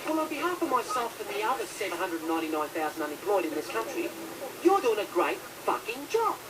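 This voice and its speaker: A man's voice: sits high, big pitch swings, fast talker, distant mic, noisy background. An actor playing a cheeky, argumentative unemployed man in a comedy film. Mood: indignant. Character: brash unemployed man in a car row.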